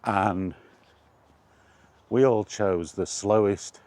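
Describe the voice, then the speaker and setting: Deep-voiced British man, casually rambling. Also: very slow, a little mellow. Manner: wry, colloquial. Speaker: vlogger. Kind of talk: casual rambling chat about gaming.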